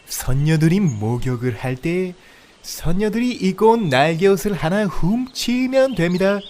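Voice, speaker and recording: A man's voice: high-pitched, animated, measured pace, darkish tone, voice pushed up. A man voicing a pleading, wheedling talking deer in a comedic audio fairy tale, obsequiously polite like a salesman. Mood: instructive. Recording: outdoor nature ambience, birdsong behind.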